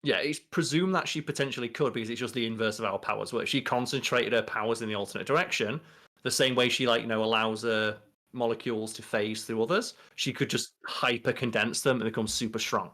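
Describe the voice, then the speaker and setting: A man's voice: mid-range voice, fairly even intonation, fairly quick, pushed and bright. A young adult man chatting casually as a podcast co-host, reading out entries and commenting in an informal, sweary way. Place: small bedroom.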